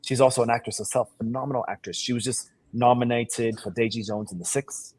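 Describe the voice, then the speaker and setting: A man's voice: mid-range voice, fairly even intonation, a little fast, a little mellow, no echo. A man from Sierra Leone who grew up in Seattle, speaking with a put-on British accent as an actor being interviewed, confident, emphatic and boastful in slangy talk. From born in Sierra Leone, grew up in Seattle. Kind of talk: animated self-promotional interview talk.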